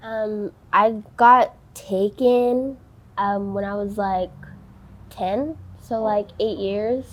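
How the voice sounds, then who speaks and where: A woman of nineteen in a candid interview, speaking softly and haltingly with many ums and likes. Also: medium pitch, steady tone, rough recording. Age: young adult. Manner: hesitant, many ums and likes, soft-spoken. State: tearful, voice breaking.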